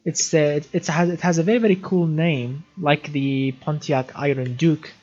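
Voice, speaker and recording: A man's voice: fairly high pitch, steady tone, normal speed, a little mellow, lo-fi sound. A young man from Syria hosting a casual live stream, chatty, reading viewer comments aloud and often repeating words as he restarts sentences. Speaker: livestream gaming host.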